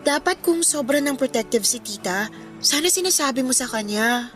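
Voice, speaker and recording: A respectful young Filipina in a radio drama, speaking in a high voice. She is considerate but candid, with faint music under her words. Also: animated, moderate pace, fairly bright tone. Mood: candid, careful.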